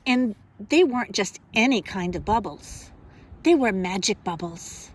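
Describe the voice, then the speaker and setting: Slow-talking woman reading to young children. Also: mid-range voice, slight background noise. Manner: deliberate, expressive, speaks simply as to young children. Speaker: kindergarten teacher reading to children. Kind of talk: children's storybook read-aloud and simple instruction.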